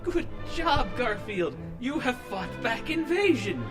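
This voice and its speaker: Earnest colonial statesman voiced by a man, raised pitch, gushing patriotic delivery, parody storybook. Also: very animated, slow pace, over music, exaggerated voice. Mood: grateful. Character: earnest, grateful patriotic founding fathers.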